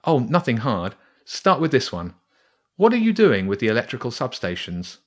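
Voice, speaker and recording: A man's voice: mid-range voice, huge pitch swings, moderate pace. A man voicing a curious, determined young boy in a children's storybook, asking eager, probing questions.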